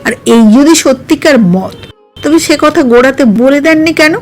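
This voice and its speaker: A woman's voice: high voice, expressive, moderate pace. A woman voicing a proud young woman who hides her love, wounded and reproachful, in an audio storybook. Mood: hurt, indignant. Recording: music under the voice.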